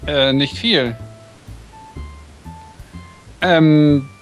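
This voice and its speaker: A man's voice: fairly high pitch, drawn-out words, soft background music, low-quality recording. A man playing a friendly, polite and slightly hesitant schoolboy love interest in a visual novel. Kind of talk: visual novel dub reading.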